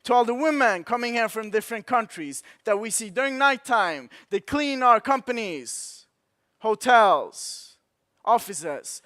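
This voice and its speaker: A man's voice: extremely high pitch, animated, unhurried, pushed and bright. A man in his twenties with a Swedish accent, giving an impassioned motivational keynote at a conference with rhetorical repetition. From Sweden. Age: 20s. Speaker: conference speaker. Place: conference hall.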